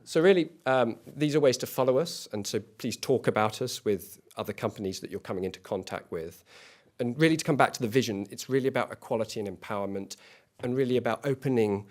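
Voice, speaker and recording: A man co-founding a small company, giving a competition pitch in an earnest way and often restarting and repeating words. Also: quick pace. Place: event hall.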